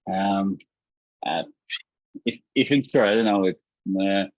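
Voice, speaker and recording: Low-voiced man, halting. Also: no room sound, dull audio. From Ukraine. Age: 30s. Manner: halting, many restarts, leans on "so" and "like". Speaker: guest, startup founder. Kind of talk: casual interview talk.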